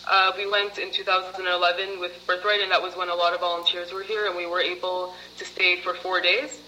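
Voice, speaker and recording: A woman's voice: on the low side, level tone, fairly quick, bright, forceful tone, a little hiss or hum, low-quality recording. A woman as a program participant, answering interview questions in a chatty way, with many fillers and laughs.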